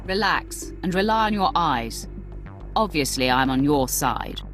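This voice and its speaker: An actress playing a cool, commanding Sith warrior in a video game, curt, assured and imperious in delivery. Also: female voice, low voice, animated, measured pace, fairly bright tone, soft background music. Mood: brisk, confident. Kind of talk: video game cutscene acting.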